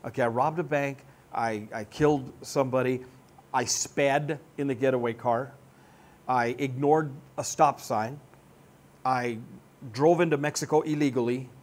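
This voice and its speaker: A man in his sixties preaching a sermon, animated and joking, on a church stage. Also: medium pitch, unhurried. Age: 60s. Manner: animated, joking, gestures broadly while seated. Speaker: pastor. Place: church stage with band gear.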